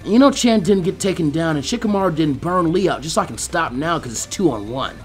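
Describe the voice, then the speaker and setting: A man's voice: on the high side, lively intonation, brisk, lightly acted. A man reading a story, voicing a brash, scrappy, determined teenage boy ninja with cheeky, defiant energy. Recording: music behind the voice.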